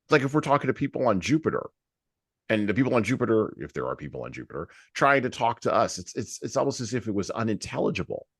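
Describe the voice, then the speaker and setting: A man hosting a podcast, talking reflectively about books in a halting, deliberate way with emphasis on key words. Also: fairly low pitch, big pitch swings, slightly rough, no room sound, clean studio sound. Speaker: podcast host. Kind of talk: reflective book discussion.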